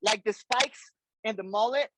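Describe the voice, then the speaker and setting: A man's voice: sits high, normal speed, a bit bright, a little hiss or hum. A man in his forties from Colombia, a drummer guest on a podcast, talking loosely with many fillers and restarts. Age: 40s. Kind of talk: casual interview chat.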